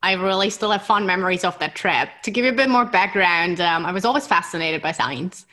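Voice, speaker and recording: German lady scientist, excitable and upbeat, bright forward voice, chatty interview. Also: fairly low pitch, a little fast. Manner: excitable, enthusiastic. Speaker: scientist guest. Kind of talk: interview conversation.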